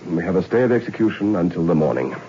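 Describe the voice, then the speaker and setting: A man's voice: low-pitched, animated, fast talker, dark tone, breathy edge, exaggerated voice. An actor playing a stern male prison governor, an army major, speaking in a clipped, commanding way in a 1960s radio drama. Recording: dull lo-fi old radio recording with faint hiss.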